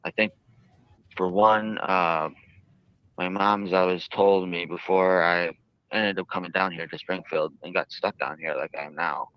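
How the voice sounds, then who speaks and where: A man with a very low, clean voice speaks in halting, drawn-out phrases as he answers interview questions. The sound is very dry and somewhat muffled, like a lo-fi archive interview. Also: fairly even intonation, dry recording. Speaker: jail inmate interviewed by a reporter.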